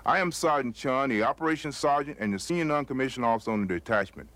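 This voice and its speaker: A man, an army operations sergeant, giving a scripted self-introduction with a plain, steady recitation of his duties. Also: medium pitch, quick pace, no room sound.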